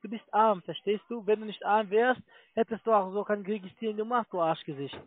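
High-voiced pushy man on tinny phone. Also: fairly quick, no echo. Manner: pushy, non-native phrasing. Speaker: cold-calling call-centre agent. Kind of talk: phone sales call. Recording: narrow, tinny phone line.

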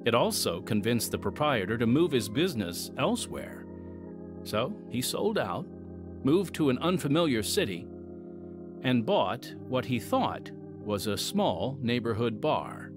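A man's voice: mid-range voice, very animated, measured pace, over music. A man hosting and narrating an audio drama podcast in a scripted, dramatic but conversational style.

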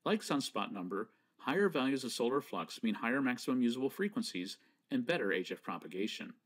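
Male narrator for a technical lesson: measured and precise, with a pitch that rises and falls a lot. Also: sing-song, a bit bright. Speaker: presenter. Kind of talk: scripted slideshow lecture.

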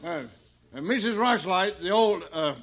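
An actor playing a fussy, courtly colonel who speaks politely and hesitantly in a 1940s radio drama. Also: male voice, high-pitched, lively intonation, unhurried, a bit bright, heavily acted. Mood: fussy, flustered. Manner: hesitant, throat-clearing, polite.

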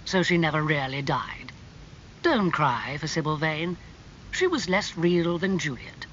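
A man voicing a suave, worldly gentleman in an audiobook, calm, languid and cynical as he talks. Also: fairly high pitch, a little slow, muffled recording, slight background noise. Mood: detached. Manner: calm, smooth-talking, languid.

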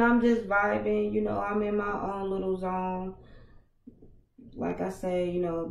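A woman in her thirties with a naturally raspy voice, hosting a casual livestream podcast from a small home studio on a headset mic, chatting loosely with viewers in slang. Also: on the low side, reverberant, slight background noise, rough recording. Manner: laid-back, slangy, talks to viewers in chat. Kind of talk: casual livestream chat reading comments. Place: small home studio with foam panels, indoors. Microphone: over-ear headset mic.

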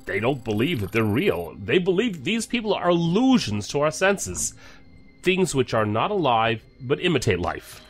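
An actor playing a measured, knowledgeable investigator in an audio drama, explaining things deliberately. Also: male voice, medium pitch, sing-song, close to an everyday voice. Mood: measured, explanatory. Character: male investigator briefing colleagues on a cult, measured, knowledgeable.

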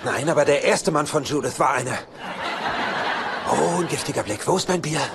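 A man's voice: fairly high pitch, moderate pace, heavy background noise, lightly acted. An actor playing a cheeky, mischievous hard-drinking bachelor who wisecracks his way through a German-dubbed sitcom. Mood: flippant. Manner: wisecracking, flippant.

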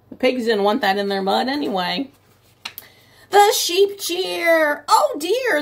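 Casting: middle-aged female preschool teacher for storytime, highish voice with gravelly creak, expressive and explanatory. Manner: expressive, drawn-out story phrases with simple explanatory asides. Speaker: preschool teacher reading aloud. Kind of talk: picture-book read-aloud for young children. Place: small room at home, indoors by a window.

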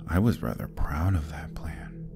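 A man voicing an arrogant, scheming Demon King who taunts and menaces in an ASMR roleplay, often dropping to a husky whisper. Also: a bass voice, heavily acted. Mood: smug taunting. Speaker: voice actor.

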